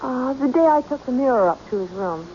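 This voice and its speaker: An actress playing a devoted, defensive young maid in an old radio drama, speaking in a guarded way. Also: female voice, high-pitched, expressive, a little fast, dark tone. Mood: tender, earnest. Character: devoted, defensive young servant girl, dreamy daughter. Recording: dull, noisy vintage radio drama recording.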